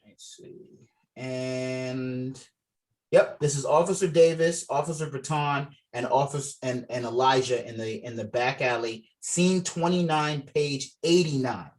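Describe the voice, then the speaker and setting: A man's voice: mid-range voice, a little slow, low-quality recording. A man leading a script read over a video call, talking casually and encouragingly and reading out scene directions. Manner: encouraging, informal. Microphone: video call microphone.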